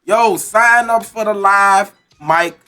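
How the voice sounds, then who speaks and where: A male radio show host, a bit hoarse, chatting in an animated, slangy way and repeating himself. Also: high-pitched, slow, soft background music. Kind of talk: casual radio show chat.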